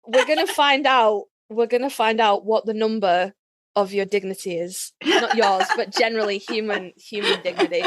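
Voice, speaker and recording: A woman's voice: medium pitch, normal speed, no room sound. A woman co-hosting a casual fan podcast in a blunt, salty, informal way. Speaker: podcast co-host.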